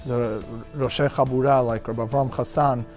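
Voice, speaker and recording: A man's voice: medium pitch, fairly even intonation, normal speed, darkish tone. A man giving a personal testimonial for a community video. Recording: music playing behind the voice.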